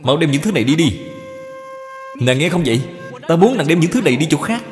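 A resentful young husband in a cartoon folk tale, played by a male narrator, complaining with lively, raised intonation. The voice carries long reverb over music. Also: a little high, brisk, voice pushed up. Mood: irritated. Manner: indignant complaining. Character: resentful, hard-working young husband, bitter stepson.

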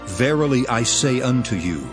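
An actor playing an earnest, authoritative teacher with solemn, declamatory delivery in a dramatized Bible reading. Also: male voice, mid-range voice, expressive, moderate pace, lightly acted. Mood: solemn teaching. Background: music.

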